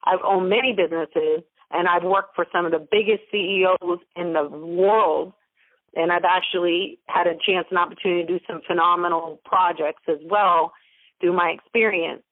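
A woman's voice: on the low side, fairly even intonation, moderate pace, no room sound. A woman guest who mentors others and runs businesses, talking emphatically and piling up repeated motivational phrases. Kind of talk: interview over a phone line. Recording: dry, tinny phone-line audio.

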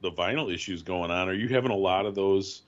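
A man's voice: sits low, brisk, highs cut off. A man hosting a podcast interview, asking chatty questions with many restarts. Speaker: podcast host. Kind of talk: casual interview.

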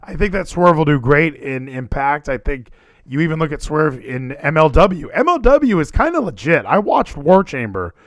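Lively, chatty man with a dry sound. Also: fairly high pitch, no room sound. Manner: animated, chatty, quick asides. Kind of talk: casual wrestling chat.

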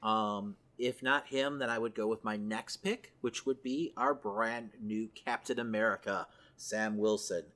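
A man's voice: on the low side, steady tone, unhurried, few pauses, fairly bright tone. A man co-hosting a podcast, chatting casually and thinking aloud as he goes. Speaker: podcast co-host. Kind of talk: casual chat about comic book films.

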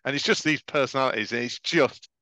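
A man's voice: mid-range voice, fast, sharp tone, no echo. A middle-aged man co-hosting a podcast in casual conversation, chatty and often tagging his phrases with "right?". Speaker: podcast co-host. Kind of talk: casual chat.

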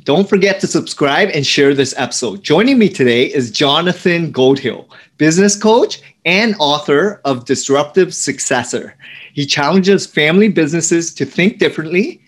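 A man's voice: on the high side, expressive, normal speed. A man with a slightly raised voice hosts a business interview podcast. He reads the intro, then asks questions with a few stumbles and fillers. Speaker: podcast host.

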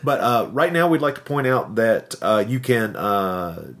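A man's voice: mid-range voice, very animated, measured pace, a bit bright. A man co-hosting a podcast, chatting casually in a rambling, jokey, self-deprecating way. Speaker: podcast co-host. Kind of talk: casual podcast sign-off chat.

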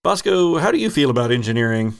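A man narrating a tabletop horror game as game master, in slow, deliberate descriptions broken by chuckles. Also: medium pitch, big pitch swings, gravelly.

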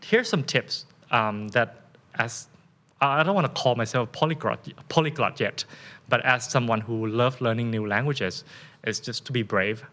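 Thai-accented male guest, lively storyteller, casual interview. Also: mid-range voice, moderate pace. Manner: animated, laughing storyteller with many restarts.

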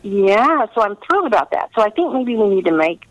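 A middle-aged woman giving earnest, conversational interview answers, pausing often to find her words over a remote line. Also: mid-range voice, sing-song, no room sound, lo-fi sound. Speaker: interviewed historical novelist.

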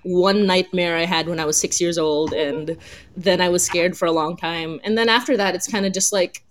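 A woman's voice: lowish voice, steady tone, moderate pace, a little hiss or hum. Giggly, enthusiastic woman podcast host. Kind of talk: casual group chat on a live podcast.

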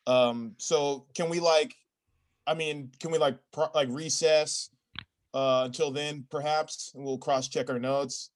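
Guy doing a sheepish rambly job applicant, kinda high voice, stammers through excuses, improv comedy. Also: steady tone, lightly acted. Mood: rambling, earnest. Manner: halting, rambling, sheepishly self-justifying. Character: rambling, hapless male stenographer job applicant.

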